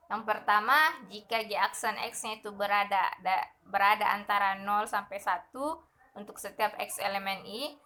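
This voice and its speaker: A young woman explaining a numerical methods lesson over slides, steady and methodical, often pausing with fillers. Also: mid-range voice. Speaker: math lecturer.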